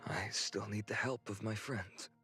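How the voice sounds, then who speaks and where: Low-voiced earnest young hero. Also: male voice, steady tone, a little slow, bright, forceful tone. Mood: humble, lightening up. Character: earnest young male swordsman hero, friendly and resolute. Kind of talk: English video game voice acting. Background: background game music.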